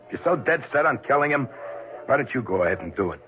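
A man's voice: mid-range voice, sing-song, fast talker, lightly acted. An American actor playing an uneasy, wronged businessman, wary and hesitant, in a seventies radio drama. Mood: reluctant, irritated. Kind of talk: 1970s radio drama acting. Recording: dull old radio broadcast.

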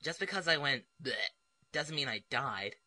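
A man voicing a blasé, sarcastic ninja teacher in an anime parody, deadpan and offhand. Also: mid-range voice, normal speed, no room sound. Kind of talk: comedic anime parody voice acting. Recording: loud music behind the voice.

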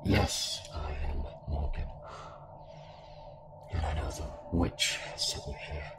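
Man voicing a very deep, weary cult villain. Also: completely flat delivery, very noisy, exaggerated voice. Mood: resigned, taunting. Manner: slow, resigned, taunting. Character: weary, menacing male cultist villain in spirit projection. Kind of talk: audio roleplay voice acting. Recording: music behind the voice.

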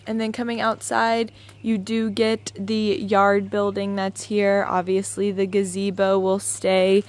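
A woman's voice: medium pitch, completely flat delivery, a little slow, slight background noise. Chatty woman real estate agent. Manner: upbeat, chatty, enthusiastic. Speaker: real estate agent giving a house tour. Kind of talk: walking house tour narration. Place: inside and around a house, voice-over while filming.

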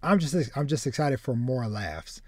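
A man in his thirties chats casually as a podcast host. He talks in halting runs, doubling back on words, and the sound is dry and close, with little room in it. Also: mid-range voice, lively intonation, darkish tone, no echo. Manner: halting, repeats words as he goes. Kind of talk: casual chat about anime. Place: small room with bookshelves, indoors, on a video call.

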